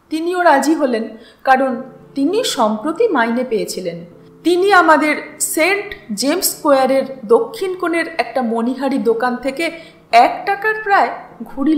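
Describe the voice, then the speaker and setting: A woman reads a literary story aloud in an expressive, deliberate narrating style. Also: sits high. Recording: roomy echo with music behind. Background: music.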